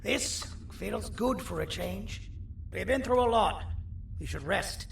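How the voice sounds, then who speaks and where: High, creaky scheming monster doctor. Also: male voice, animated, takes it slowly, a little hiss or hum, full character voice. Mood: hushed, wary. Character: scheming, earnest monster doctor, smooth-talking persuader. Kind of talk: video game character acting. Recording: roomy, distant, under loud scary music.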